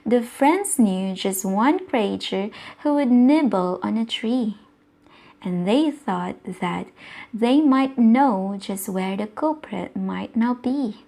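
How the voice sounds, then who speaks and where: A woman with a Filipino accent reading a rhyming bedtime story, gently asking the children questions. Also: mid-range voice, animated, vocal fry. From Philippines. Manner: slow, gentle, asks the listener questions. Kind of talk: bedtime story read-aloud for kids.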